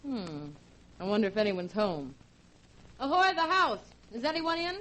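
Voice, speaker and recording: A woman's voice: fairly high pitch, sing-song, moderate pace, music in the background, rough recording. An actress playing a young, well-off woman in a 1940s radio drama. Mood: breezy, teasing. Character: young heiress and her coy, sophisticated actress half-sister. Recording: vintage 1940s radio broadcast.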